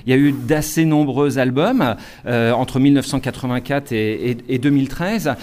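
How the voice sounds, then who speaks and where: A man's voice: mid-range voice, normal speed, darkish tone. Male interviewer, conversational, repeats words and fills with "euh". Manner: chatty, with stammered repeats and "euh". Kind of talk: interview questions.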